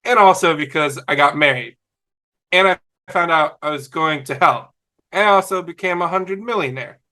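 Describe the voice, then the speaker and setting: A man on a video call table read, playing a dim, sweet, excitable young slacker. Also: a little high, moderate pace. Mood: earnest, dopey. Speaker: amateur table-read actor. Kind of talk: fan table read of TV comedy script.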